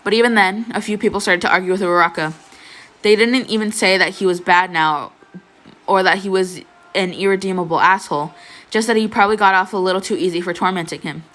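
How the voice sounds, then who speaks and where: A woman's voice: medium pitch, steady tone, quick pace, fairly bright tone, soft background music. A young adult woman reading fan fiction as an amateur narrator. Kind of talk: expressive scripted story narration, casual asides.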